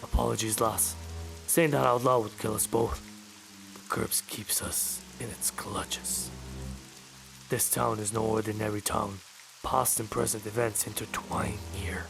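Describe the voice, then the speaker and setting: A man's voice: lowish voice, flat delivery, measured pace, gravelly, over music, voice pushed down. A man voicing a wary old villager in a fantasy game, ominous and secretive. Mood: ominous warning.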